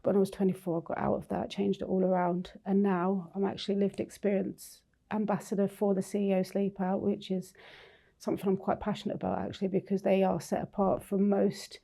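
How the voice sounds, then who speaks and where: A woman's voice: medium pitch, little pitch movement, a little fast, creaky, dark tone. A young adult woman from Newcastle, England, speaking candidly as a lived experience ambassador in a conversational interview. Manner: candid, plain-spoken.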